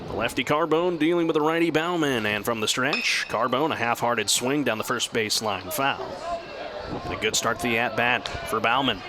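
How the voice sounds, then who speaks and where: A man working as a radio announcer, giving baseball play-by-play commentary that moves between measured description and excited calls. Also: mid-range voice, noisy background.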